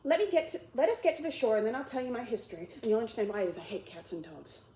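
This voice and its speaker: A woman voicing a touchy, frightened little mouse in a storybook reading, shrill and trembling. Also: animated, racing, warm tone, reverberant, close to an everyday voice.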